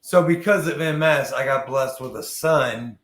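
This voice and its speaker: A man's voice: mid-range voice, unhurried. A man in his early forties hosting a casual interview, talking in an informal, slangy, rambling way. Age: early 40s. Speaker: podcast host. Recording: echoey, roomy sound.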